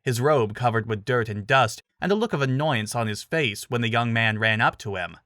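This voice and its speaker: A male narrator reads a dramatic fantasy story from a script. His voice sits at a middle pitch, and the sound is dry with no room echo. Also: animated, normal speed, fairly bright tone.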